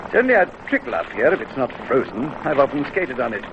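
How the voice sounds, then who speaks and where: A man's voice: medium pitch, sing-song, quick pace. A man giving explanatory travel commentary as a guide, matter-of-fact and informative. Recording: dull, noisy vintage radio recording.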